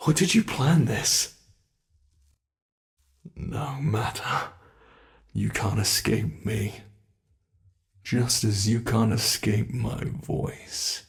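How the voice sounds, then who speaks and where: A British man voicing an ancient, cruel male monster that hunts humans, slow and relishing, in an ASMR horror roleplay. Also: fairly low pitch, gravelly, heavily acted. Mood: taunting. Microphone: close mic.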